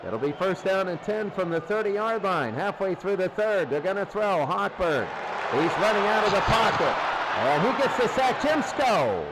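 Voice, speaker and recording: High male voice, live football commentary, excitable and repetitive, clearly noisy recording. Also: moderate pace. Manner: excitable, exclamatory, repeats phrases. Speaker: play-by-play sports commentator.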